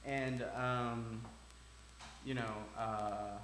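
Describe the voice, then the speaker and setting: A man's voice: medium pitch, fairly even intonation, a bit bright. Brief: man as casual podcast host, hesitant, stop-start, roomy sound with faint hum. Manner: hesitant, halting, many uh and restarts. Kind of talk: casual chat. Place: community center music studio. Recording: echoey room with faint hum.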